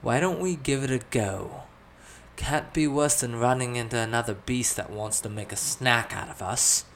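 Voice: medium pitch, moderate pace, a little hiss or hum, close to an everyday voice. A narrator as a cocky, commanding adventurer man. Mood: mocking.

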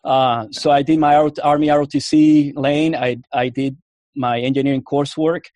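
A man with a Cuban accent and a slightly high-pitched voice recounts his path into the Army as an interview guest. He rambles, doubling back and restarting often. The sound is dry and close, with no room around it. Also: level tone, moderate pace, a little mellow, dry recording. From Puerto Rico. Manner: rambling, full of restarts and fillers. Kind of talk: casual interview storytelling.

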